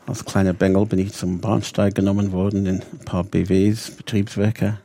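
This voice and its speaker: A man's voice: deep voice, level tone, moderate pace, mellow. A man of 59 from England with an English accent, telling his story conversationally in detail, sometimes searching for words. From Bradford, Yorkshire, England. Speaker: interview guest, rabbi.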